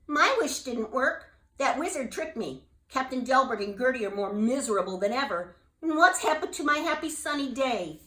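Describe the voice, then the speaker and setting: A woman voicing an earnest, fretful young male bullfrog in a children's story, with a high, excitable voice. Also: moderate pace, few pauses, close to an everyday voice. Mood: dejected. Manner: high, excitable, tearful at times. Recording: echoey room.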